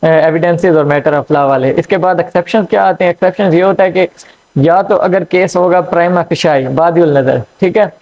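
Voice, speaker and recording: A man giving a law lecture, explaining slowly with frequent fillers and checks. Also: on the high side. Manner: hesitant, frequent fillers and checks "theek hai". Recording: dull lo-fi recording.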